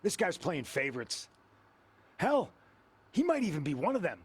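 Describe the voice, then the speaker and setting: A man's voice: fairly high pitch, sing-song, moderate pace, breathy edge. A man playing a determined, indignant wrestler bent on revenge in scripted video game dialogue.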